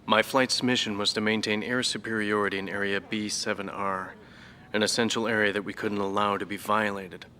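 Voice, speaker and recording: An actor playing a middle-aged former fighter ace, now a professor, who speaks in a measured, brooding, reflective way in a game cutscene. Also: male voice, lowish voice, flat delivery, sharp tone, slight background noise. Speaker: former fighter pilot interviewed.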